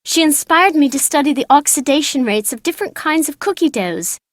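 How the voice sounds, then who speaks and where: A woman voicing a bright, cheeky, bookish young girl character in a video game, playful and matter-of-fact. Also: high voice, lively intonation, brisk, no echo, clean studio sound.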